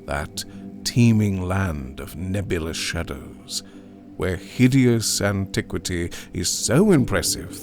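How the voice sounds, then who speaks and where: A man performing a haunted survivor in a dramatic gothic horror audiobook, grave and theatrical, with hushed near-whispered phrases. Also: low voice, creaky, full character voice. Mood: ominous. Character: haunted male survivor of an opium overdose, recounting horror. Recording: studio narration over music.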